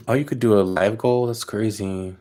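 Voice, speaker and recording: A man with a somewhat low voice hosts a casual livestream as a reader, talking straight to his viewers. He is chatty and loose, with plenty of "like" fillers as he answers comments one by one. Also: a little slow, mellow. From California. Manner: loose and chatty, leans on "like" fillers, reacts to chat as he reads it. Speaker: psychic reader hosting a livestream.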